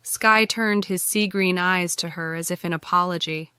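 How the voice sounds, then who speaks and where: A woman's voice: on the low side, steady tone, normal speed, sharp tone, clean tone, no room sound, clean studio sound. A woman reading scripted fiction narration for an audiobook.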